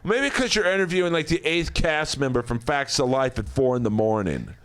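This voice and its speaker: A man's voice: mid-range voice, animated, a little fast, a bit bright, smooth. A man in casual comedy podcast banter, telling stories in a crude way. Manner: crude storytelling.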